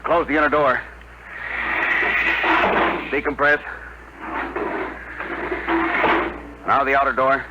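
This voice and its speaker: Authoritative rocket commander, male actor, mid-pitched, methodical orders, heavily noisy vintage radio recording. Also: little pitch movement, muffled recording, lightly acted. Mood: tense, urgent. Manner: brisk, methodical instructions. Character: steady, authoritative spaceship leader giving crisp orders. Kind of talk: 1950s radio drama acting. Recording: very noisy old radio broadcast.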